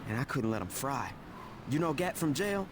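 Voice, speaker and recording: Video game gang boss, male actor: commanding, blunt street talker, mid-pitched, reverberant recording. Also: big pitch swings, moderate pace, natural voice. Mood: matter-of-fact. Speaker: lead character of a crime game. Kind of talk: cinematic video game dialogue, street slang. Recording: reverberant game audio, faint noise.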